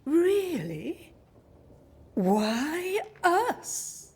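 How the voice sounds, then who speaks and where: An actress playing an enigmatic witch leader in a video game, breathy and measured, giving cryptic, ominous guidance. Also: sits high, sing-song, a little mellow, exaggerated voice. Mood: teasing. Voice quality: breathy, husky. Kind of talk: dramatic video game acting.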